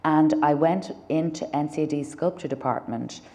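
A woman's voice: deep voice, normal speed, mellow. An Irish woman in her thirties, an artist talking about her work in a public conversation, thoughtful with many fillers and restarts. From Ireland. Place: dark stage in a gallery lecture hall.

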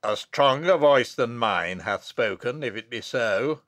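A stern abbot, acted by a male narrator declaims like a wrathful prophet in a gothic storybook. The words are loud and weighty, swinging widely in pitch, with solemn archaic phrasing. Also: expressive, measured pace, no echo, clean studio sound. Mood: grave.

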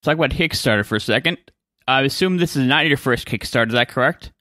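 Bright-voiced male interviewer, dry sound. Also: mid-range voice, fast, no echo. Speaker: interviewer, host. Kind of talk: interview questions.

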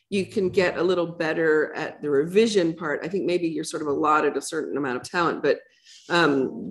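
A middle-aged woman with a slightly low voice answers questions after a poetry reading. She talks in a reflective, conversational way, working her thoughts out aloud in a lecture room. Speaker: poet. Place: lecture room with a projection screen.

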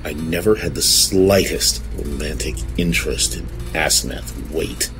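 A man playing a troubled, genteel suspect in an audio horror drama, halting, formal and earnest. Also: very deep voice, steady tone, music in the background. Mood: haunted, reserved. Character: troubled, genteel man confessing to police, desperate.

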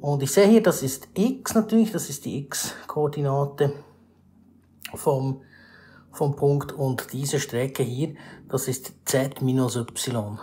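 A male math teacher with a Swiss German accent explains a math problem step by step over a screen recording, with many pauses and restarts. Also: mid-range voice, warm tone. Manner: formal address to viewers, rising emphasis on new steps.

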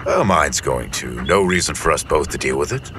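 An actor playing a suave, flirtatious, polite male surgeon with a deep, husky voice in a romantic comedy audio drama. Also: very animated, normal speed, bright, forceful tone, a little hiss or hum, heavily acted. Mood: charming, curious.

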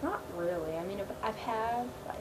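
A teenage girl vlogging from her bedroom, answering questions in a laid-back, filler-heavy way and trailing off often. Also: moderate pace. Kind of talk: casual Q&A chat. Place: small bedroom, indoors. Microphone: built-in webcam mic. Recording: quiet, low-volume webcam audio with loud hiss.

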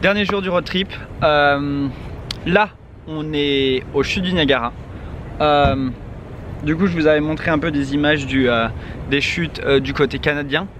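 A man's voice: on the high side, measured pace, a bit bright. A young man talking casually to a handheld camera outdoors, rambling with many hesitations. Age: young adult. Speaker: vlogger. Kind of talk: casual travel vlog to camera. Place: outdoors in a city street. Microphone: handheld camera at arm's length. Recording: outdoor traffic noise behind. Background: street and traffic noise.

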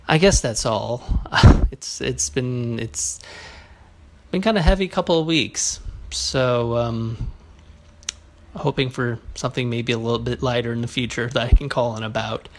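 A man leaving a casual, earnest listener message in a halting, hesitant way. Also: mid-range voice. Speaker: listener calling in with feedback. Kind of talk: casual spoken voicemail.

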